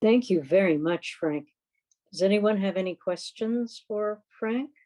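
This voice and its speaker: A woman's voice: medium pitch, unhurried, mellow. A woman informally moderating an online club meeting.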